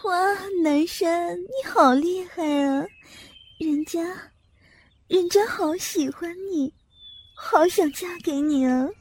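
A woman's voice: very high-pitched, takes it slowly, darkish tone, no room sound, heavily acted. A woman playing a coquettish, scheming young female fox spirit in a Chinese audio drama, cooing and catty. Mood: simpering.

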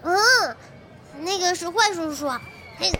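Casting: adult woman as sweet small girl for radio play; high, bright, childish, clingy and pleading. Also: a little slow, faint music behind. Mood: sulky. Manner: pleading, simple childish phrasing. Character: sweet clingy little girl longing for a father. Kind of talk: Chinese audio drama acting.

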